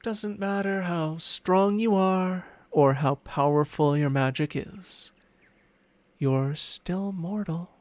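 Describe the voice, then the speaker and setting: A man's voice: high voice, takes it slowly, no echo. A man voicing a smug, seductive ancient dragon in humanlike form for an ASMR roleplay, teasing and haughty in formal words, with murmurs that slip into whispers. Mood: proud, imperious. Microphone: close microphone, dry sound.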